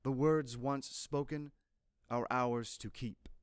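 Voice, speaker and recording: A man reading scripted poetry aloud in a measured, deliberate, literary manner. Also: medium pitch, steady tone, smooth, dry recording, studio quality. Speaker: poetry reader.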